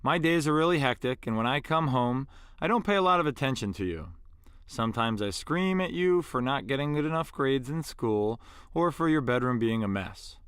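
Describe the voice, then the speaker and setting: A man voicing a busy middle-aged father in a story, speaking in a heartfelt, halting confession. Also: mid-range voice, lively intonation, a bit bright, smooth, no room sound, studio quality, natural voice. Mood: tender, apologetic.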